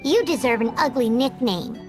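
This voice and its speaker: A woman voicing a tiny, childlike fairy companion in an anime-style video game, cheeky, excitable and blunt, with a squeaky voice. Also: normal speed, over music, natural voice. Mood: cheeky, smug. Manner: speaks of self in third person, blunt teasing.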